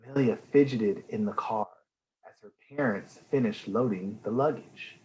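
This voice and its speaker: A man reading a storybook aloud for young children in an enthusiastic, expressive way. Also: lowish voice, moderate pace, lo-fi sound. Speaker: read-aloud reader for children.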